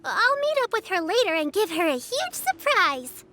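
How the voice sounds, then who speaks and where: Very high, bright little girl. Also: very animated, normal speed, heavily acted. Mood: eager. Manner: eager, sweet, considerate. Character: earnest, caring little girl disciple, eager and helpful.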